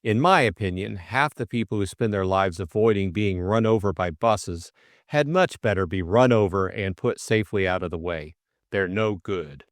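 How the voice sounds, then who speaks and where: A man voicing a calm, firm, plain-spoken police superintendent in an audiobook, speaking in a quiet, measured way. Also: low-pitched, no echo, clean studio sound, natural voice. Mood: firm, frank. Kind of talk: audiobook character acting.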